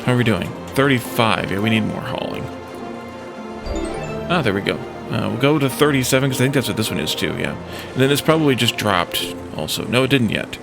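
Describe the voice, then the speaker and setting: A man's voice: medium pitch, lively intonation, brisk, creaky. A man giving casual gameplay commentary, thinking aloud and musing his way through problems as a let's play host. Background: background music.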